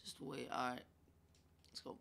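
A young man commentating his own gameplay in a loose, rambling way, full of ums and asides. His voice is bright but stuffy and congested. He talks into a desk mic over the game. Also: a little slow. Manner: chatty, rambling, filler-heavy. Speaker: gaming YouTuber.

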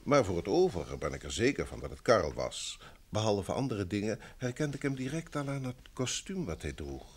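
A man's voice: fairly low pitch, big pitch swings, a little fast, slightly rough. An actor in an old Dutch radio play, playing a polite, wealthy businessman, earnest and troubled, with a formal, courteous manner. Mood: grave, troubled.

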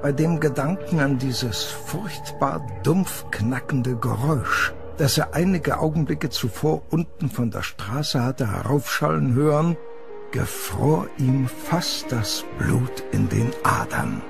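A man's voice: mid-range voice, animated, takes it slowly, gravelly. A man narrating a scripted horror story for an audio drama. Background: music.

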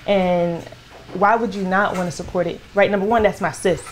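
A woman's voice: medium pitch, steady tone, normal speed, rough recording. A young woman raised in Florida, chatting informally and with some slang as an interview guest. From born in Liberia, raised in Florida, United States. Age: young adult. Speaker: guest, head of operations of a food business. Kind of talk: casual chat interview. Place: home kitchen, indoors. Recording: clear sizzling kitchen noise behind. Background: frying and sizzling food.

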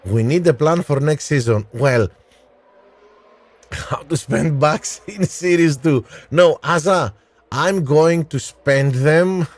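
A man in his thirties streaming a racing game live, calling strategy and answering his chat by name with frequent pauses, on a headset mic in a small room. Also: on the high side. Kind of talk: live gameplay commentary and chat replies.

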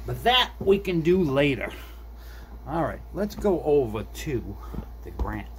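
A man's voice: fairly high pitch, sing-song, slow pace. A middle-aged man giving a casual, unscripted repair demonstration, thinking aloud to viewers as he works at a desk indoors. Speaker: hobbyist repair video maker. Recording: background noise, room ambience.

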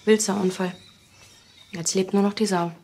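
An actress playing a teasing, deadpan police colleague. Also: female voice, mid-range voice, unhurried, a little hiss or hum, close to an everyday voice.

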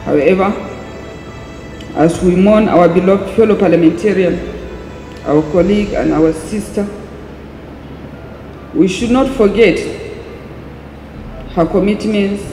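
A middle-aged woman, a parliamentarian, reading a formal eulogy in a solemn, measured way with deliberate phrasing. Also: on the low side, warm tone. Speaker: parliamentarian giving a tribute. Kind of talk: formal eulogy read from a script. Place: large indoor atrium hall at a memorial ceremony. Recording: echoey hall, long reverb, music behind.

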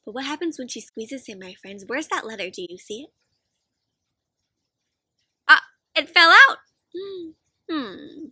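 Casting: young woman, children's read-aloud host, high-pitched and animated, inviting little listeners in with questions. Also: unhurried, long pauses, husky, no room sound, studio quality. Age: young adult. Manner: expressive, asks frequent questions, gasps. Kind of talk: interactive picture-book read-aloud for children. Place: outdoors in a fenced backyard.

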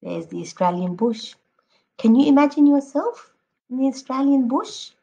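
A woman teacher reading a picture book aloud to children, expressively, addressing them directly and encouragingly with drawn-out emphatic words. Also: sits high, lively intonation, vocal fry, mellow, highs cut off. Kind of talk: expressive children's read-aloud with prompting questions.

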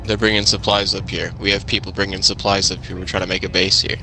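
Man playing guarded, low-voiced settlement leader. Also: flat delivery, fast, music in the background, close to an everyday voice, rough recording. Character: guarded, firm male leader of a zombie-apocalypse settlement. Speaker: player in a roleplay skit. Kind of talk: improvised game roleplay dialogue.

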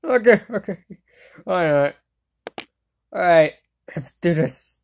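Woman voicing a low-pitched, brash anime kid. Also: expressive, slow. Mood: fired-up, defiant. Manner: slangy, mouthy, exclamatory. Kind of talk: anime fan-dub acting, solo voice clips.

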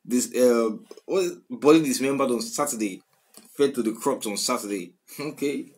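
A man's voice: medium pitch, moderate pace. A man in his twenties with a Nigerian accent, giving casual commentary on songs and repeating lyrics in slangy talk. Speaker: reaction video host. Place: small room at home, indoors. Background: music playing.

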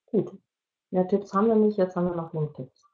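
A middle-aged woman giving an explanatory online presentation in a halting way, searching for words. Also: fairly low pitch, a little mellow. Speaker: media educator presenting at an online parents' evening. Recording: dull, lo-fi video-call audio.

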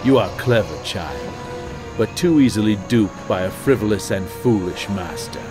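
An actor playing a stern, pious necromancer lord in a fantasy game, with pompous, measured, archaic speech. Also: male voice, fairly low pitch, lively intonation, lightly acted. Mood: stern, scornful. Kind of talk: dramatic fantasy game dialogue. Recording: loud music under the voice.

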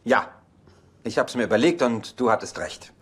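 An actor playing a sardonic, grumbling bachelor with a dry delivery in a dubbed sitcom. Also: male voice, medium pitch, expressive, moderate pace, a bit bright, breathy edge. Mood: conceding. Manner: dry, sarcastic. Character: sardonic, grumbling bachelor reluctantly sharing his house. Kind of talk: German-dubbed sitcom dialogue. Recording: noisy TV soundtrack with laugh track.

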